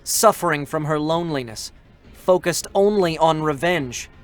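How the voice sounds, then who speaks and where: A man reads narration in a slow, measured and solemn way. His voice is a touch high for a man. Soft music plays under him. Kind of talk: scripted narration in a live reading.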